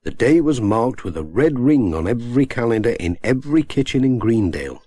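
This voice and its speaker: A man with a British accent narrating a children's story in a measured, expressive storytelling manner. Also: medium pitch, breathy edge, dry recording. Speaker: audiobook narrator.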